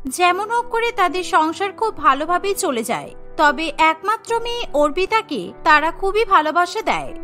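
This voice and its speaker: A woman's voice: very high voice, pushed and bright. A woman reading scripted narration for a cartoon story.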